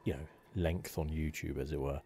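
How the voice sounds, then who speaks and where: Male gaming host, extremely low voice, gentle hesitant ASMR delivery, clear background noise on the recording. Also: steady tone, moderate pace. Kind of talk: ASMR game playthrough commentary.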